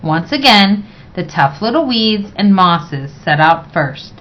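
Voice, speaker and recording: A woman reading a children's picture book aloud in a measured, expressive way. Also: mid-range voice. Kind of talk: read-aloud children's story narration. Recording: dull, lo-fi sound.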